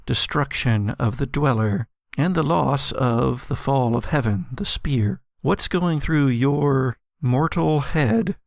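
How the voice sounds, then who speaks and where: A man narrates as a game master with a slow, measured delivery. His voice has a gravelly creak and a dramatic storyteller's shape. The sound is dry and close. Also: mid-range voice, no echo. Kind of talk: narrating an actual-play audio drama, storytelling.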